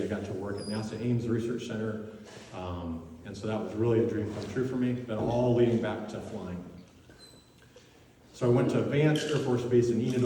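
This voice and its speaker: A man giving a personal talk in a halting way, with many fillers and restarts. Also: on the low side, mellow. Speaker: speaker giving a presentation. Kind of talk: personal talk about faith and career. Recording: echoey hall from far off.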